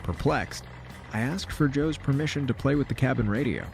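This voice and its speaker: An actor playing an uneasy, wry traveler, narrating a science fiction audio drama in a deliberate, self-mocking storytelling manner. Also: male voice, medium pitch, animated, vocal fry, loud music behind the voice. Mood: wry, reflective. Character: uneasy, wry male traveler narrating a simulation-hopping adventure. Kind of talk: scripted first-person audio drama narration.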